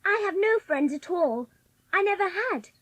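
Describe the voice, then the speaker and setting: A woman's voice: extremely high pitch, lively intonation, measured pace, a bit bright, exaggerated voice. A woman playing a lonely, sour, inquisitive little girl in a dramatised audiobook, prim and direct in her questions. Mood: forlorn.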